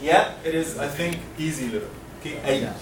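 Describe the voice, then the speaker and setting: A man's voice: on the high side, moderate pace, a crowd in the background. A man from Egypt teaching Quran recitation to a class, patiently modeling each sound and having students repeat it. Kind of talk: classroom lesson with call-and-response drills. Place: lecture classroom. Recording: roomy classroom, mic far off, noisy.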